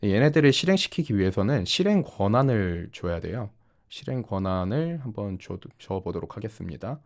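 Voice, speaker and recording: Male tutorial instructor: low register, very varied intonation, polite, methodical explanatory delivery. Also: sing-song, moderate pace. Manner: explanatory, step-by-step, polite formal speech. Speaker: programming instructor. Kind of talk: tutorial narration over screen demonstration.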